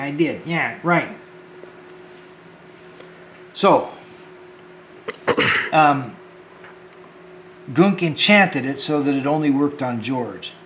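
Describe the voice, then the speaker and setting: A man with a fairly high voice speaks slowly and gently to young viewers, stumbling and repeating his words as he goes. The room is echoey, with a faint hum of ambience behind him. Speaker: children's show host. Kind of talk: talking to children on a homemade show.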